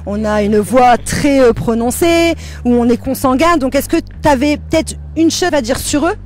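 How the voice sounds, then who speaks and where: High, animated interviewee, teasing tone, music faintly under. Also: normal speed. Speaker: street interviewee.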